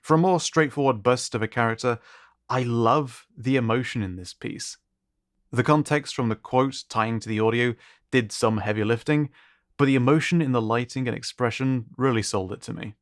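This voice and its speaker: A man with a medium-pitched voice narrates a showcase as its host. He reads prepared commentary in an appreciative, descriptive way. The recording is dry, with very little room sound. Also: normal speed, no room sound. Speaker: host of an art contest showcase.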